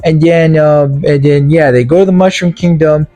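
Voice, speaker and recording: A man's voice: fairly high pitch, fairly even intonation, normal speed, dark tone. Rambling, exasperated man reviewing a movie. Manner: rambling and exasperated, stumbling over words. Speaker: video game movie reviewer. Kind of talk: casual comedic rant recap of a film.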